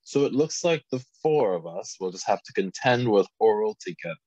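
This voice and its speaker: A man playing a sardonic, ambitious wizard with a dry, sarcastic delivery in an animated fantasy table read. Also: fairly low pitch, fairly quick, breathy edge, dry recording, highs cut off. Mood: grudging.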